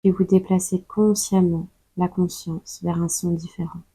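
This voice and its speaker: A woman guides a meditation in a lowish, husky voice. She takes her time, calmly giving soothing instructions and leaving long pauses. The recording is dry and close. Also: no echo, poor audio. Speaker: yoga nidra guide. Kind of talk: slow guided meditation narration.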